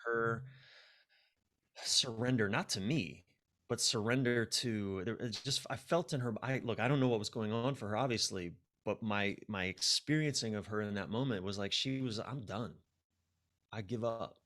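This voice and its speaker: Guy with a lowish voice, podcast guest, candid storytelling, kinda hard on himself. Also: moderate pace, fairly bright tone. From United States. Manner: candid, self-deprecating, dramatizes inner dialogue. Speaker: podcast guest, relationship coach. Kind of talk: personal storytelling in a conversational interview.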